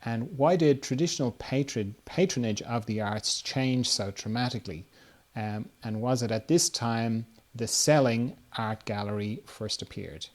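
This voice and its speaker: A man hosting a podcast in a casual chat style, putting questions in an informal, tentative and halting way. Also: medium pitch. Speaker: podcast host.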